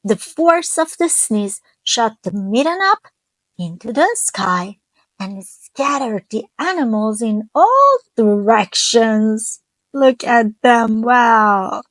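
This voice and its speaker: A woman reading a children's picture book aloud, gently stopping to ask her young listeners questions. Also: fairly high pitch, lively intonation, slow pace, dry recording, poor audio. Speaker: children's storyteller.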